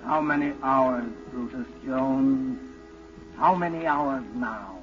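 An ominous narrator of a 1940s radio play. He is brooding and deliberate, very slow, over music on a muffled broadcast. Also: mid-range voice, animated. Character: ominous narrator addressing the fleeing hero directly.

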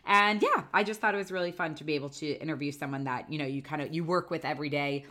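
Low-voiced woman host getting over a cold. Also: fast, bright, forceful tone. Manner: chatty, filler-heavy, laughs easily. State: recovering from a cold, slightly nasal. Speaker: podcast host. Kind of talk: casual chat. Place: apartment in New York City.

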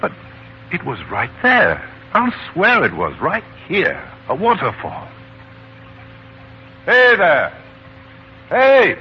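A man's voice: sits high, wildly swooping pitch, slow pace, long pauses, a little hiss or hum, heavily acted. An actor playing an earnest, insistent, plain-spoken man in a 1940s radio play, with an emphatic theatrical delivery. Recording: dull lo-fi 1940s radio, faint music.

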